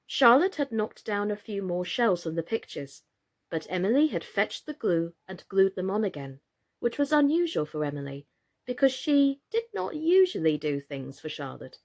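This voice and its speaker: A woman reading a children's storybook aloud in an expressive, scripted style. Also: mid-range voice, moderate pace. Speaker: story reader, actress.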